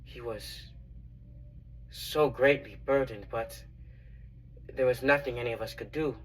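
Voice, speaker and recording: A young man playing a reverent, earnest disciple who recounts his memories in a halting, reflective dramatic monologue. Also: medium pitch, recorded from a distance, lightly acted, rough recording. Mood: grave, sorrowful. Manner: halting, reflective, with long pauses.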